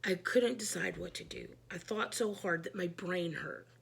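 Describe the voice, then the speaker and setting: A woman reading a children's book aloud, narrating expressively in an animated, emphatic way. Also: fairly low pitch, normal speed, gravelly, breathy edge. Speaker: read-aloud storyteller.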